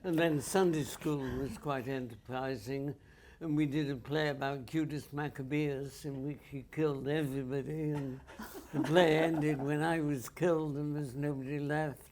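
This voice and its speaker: A man's voice: fairly high pitch, steady tone, normal speed, a little mellow, slight background noise. A man on a public panel, telling theatre memories in a dry, wry, understated way. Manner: dry, wry storyteller who understates the humor. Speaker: audience panelist. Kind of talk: reminiscing in a public panel chat.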